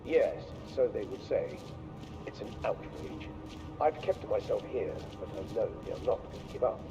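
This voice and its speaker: A haughty guild noble under threat in a sci-fi game. He speaks formally and imperiously, his pitch swinging widely, with music clearly behind him. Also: animated, moderate pace, muffled recording. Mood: wary. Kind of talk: dramatic sci-fi game cutscene acting.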